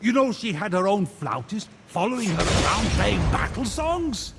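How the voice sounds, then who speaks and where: High, gravelly, boastful god. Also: male voice, big pitch swings, moderate pace, exaggerated voice. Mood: gleeful, boastful. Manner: boastful, theatrical, blustering. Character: boastful, brash male god narrator, comically indignant. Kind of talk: comic video game narration. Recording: game music behind the voice.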